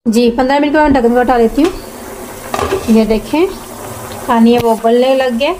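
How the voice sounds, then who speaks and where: A woman's voice: fairly high pitch, steady tone, moderate pace. A woman gives plain, step-by-step cooking instructions as the host, often repeating herself. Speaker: home cooking show host. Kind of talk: step-by-step recipe narration. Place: home kitchen at the stove. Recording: noisy kitchen with sizzling pan. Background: frying, sizzling, stirring.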